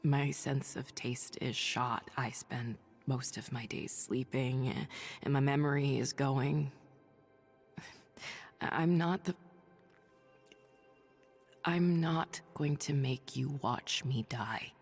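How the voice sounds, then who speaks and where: An actress playing an ailing, stubborn woman doctor turned hero in a video game drama, speaking in a halting, confiding but resolute way. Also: rumbling low, big-room sound, soft background music, exaggerated voice. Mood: weary resolve. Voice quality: husky, breathy, creaky. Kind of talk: dramatic video game acting, audio log monologue.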